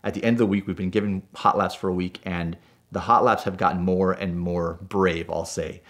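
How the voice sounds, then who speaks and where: Deep-voiced male driving instructor, native Spanish speaker, animated casual storyteller. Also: normal speed.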